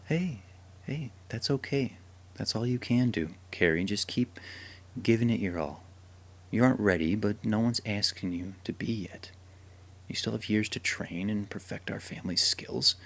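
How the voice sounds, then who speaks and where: A veteran vampire hunter and doting father, played by a man in a radio drama. His voice sits low, and he talks in a joking, encouraging way. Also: normal speed, fairly bright tone. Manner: jokey, encouraging, laughs often. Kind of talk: hobby radio drama acting.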